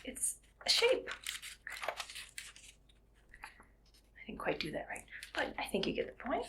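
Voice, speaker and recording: A woman playing a quirky, friendly art teacher in a soft, whispered ASMR roleplay, speaking in a gentle, unhurried murmur. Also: high voice, huge pitch swings, slight background noise, heavily acted. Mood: playful, musing. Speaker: ASMR performer.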